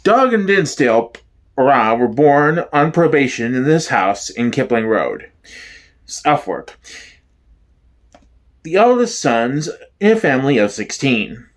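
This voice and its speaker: A man giving a dramatic, deadpan reading as the narrator of a mock documentary. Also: mid-range voice, measured pace, pauses often. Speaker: solo performer, narrator.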